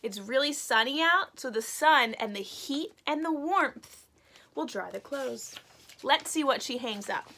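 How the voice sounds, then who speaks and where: Woman doing storytime for little kids, really high lively voice, takes her time, explains stuff simply. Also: measured pace, a little hiss or hum. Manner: animated, expressive, explains words simply for young listeners. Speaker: teacher reading a picture book aloud to children. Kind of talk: children's read-aloud with simple explanations.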